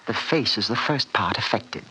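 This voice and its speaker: An actor playing a sinister, menacing villain called the fat man, speaking in a smooth, relishing manner in an old radio drama. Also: on the low side, expressive, normal speed, bright, forceful tone, heavily acted, low-quality recording. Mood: gloating. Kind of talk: 1940s radio acting. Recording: vintage radio recording with hiss.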